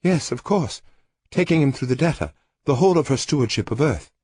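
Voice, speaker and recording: A man reading an audiobook, voicing a gentle, wistful woman in a soft, lifted voice. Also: medium pitch, lively intonation, brisk, slightly rough, no echo, studio quality, pitched above the performer's own voice. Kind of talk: audiobook character voice.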